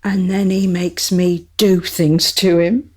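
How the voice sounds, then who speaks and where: A woman's voice: lowish voice, level tone, slow, husky. A woman playing a small, cheeky, aggrieved court jester in a comic historical radio play, with a theatrical, wry delivery.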